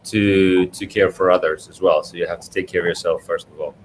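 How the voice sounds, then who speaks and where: A low-pitched man from Scotland speaks as an author in a live question session. He is agreeable and feels his way through answers thoughtfully. There is clear background noise on the recording. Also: steady tone, brisk, poor audio. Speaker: children's book author, guest.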